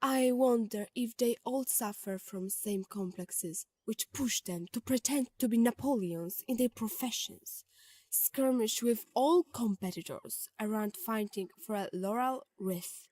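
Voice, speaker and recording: Woman doing an ironic amused lady in an audio drama, teasing, raspy and a bit high. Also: a little slow, darkish tone, no echo. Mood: ironic amusement. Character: ironic, amused woman mocking a painter friend.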